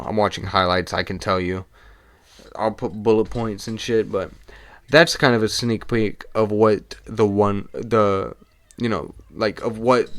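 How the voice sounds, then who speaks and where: A young man with a low, hoarse voice, recorded dry and close. He talks casually, with swearing, in quick bursts, his throat worn out. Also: fairly bright tone, no room sound. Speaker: podcast host. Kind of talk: casual solo podcast breakdown.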